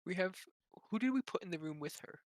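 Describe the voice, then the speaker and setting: Halting male player, fairly high voice. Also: animated, no room sound. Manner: halting, repeats his words. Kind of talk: casual tabletop chat.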